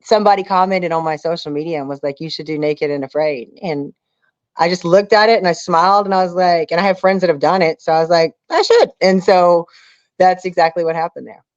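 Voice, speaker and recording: A woman's voice: fairly low pitch, brisk, no echo, muffled recording. A woman giving a casual interview, talking in an informal, emphatic storytelling way. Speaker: reality show castaway being interviewed.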